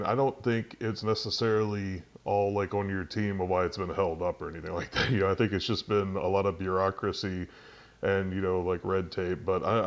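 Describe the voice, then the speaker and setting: A man with a very low voice hosting a casual interview, talking in a halting way with lots of fillers and restarts. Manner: halting, many "you know" fillers and restarts. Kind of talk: casual video-call interview. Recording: dull computer microphone.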